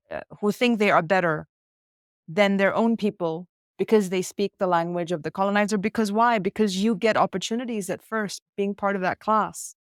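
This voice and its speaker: A woman's voice: medium pitch, no echo, studio quality. A woman who grew up in India, a podcast guest talking in a reflective, emphatic way and stacking ideas into lists, recorded at a hostel. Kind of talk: conversational interview. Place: hostel room.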